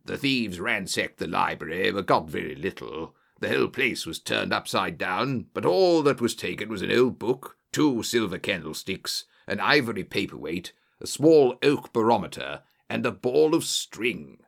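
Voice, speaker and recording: A man voicing a bluff, genial old-soldier colonel, an English country gentleman, in an audiobook story. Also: fairly low pitch, very animated, moderate pace, vocal fry, few pauses, heavily acted. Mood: conversational, bluff.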